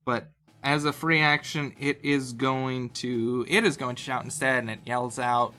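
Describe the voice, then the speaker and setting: A man acting as game master, narrating a tabletop game casually and informally, often pausing to think aloud. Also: medium pitch, fairly even intonation, bright, forceful tone. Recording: music behind the voice. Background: game soundtrack music.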